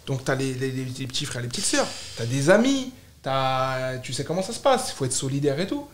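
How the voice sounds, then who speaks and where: A man's voice: medium pitch, very animated, brisk, echoey room, a little hiss or hum. A man from France speaking casually as a podcast guest, emphatic, repeating and piling up short phrases. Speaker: podcast guest, startup founder. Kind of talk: casual, animated conversation.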